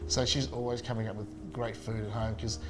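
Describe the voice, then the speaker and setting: A man's voice: lowish voice, flat delivery, brisk, over music. A man, a chef chatting casually and enthusiastically in an interview, with many fillers and restarts. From Spain.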